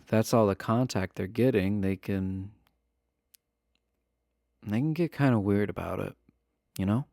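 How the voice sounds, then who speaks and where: Low, slow, shyly stammering villain played by a man. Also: vocal fry, a little mellow, no echo, studio quality, heavily acted. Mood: hesitant, explaining. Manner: hesitant, stammering, awkwardly earnest. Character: shy, adorkable imprisoned villain, friendly and earnest. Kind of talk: romantic roleplay audio, spoken to the listener.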